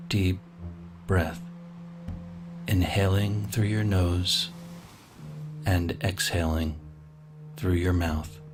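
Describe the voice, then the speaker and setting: A man guiding a sleep meditation, giving slow, soothing, gently paced instructions. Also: low voice. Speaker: meditation guide. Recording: soft music clearly behind the voice.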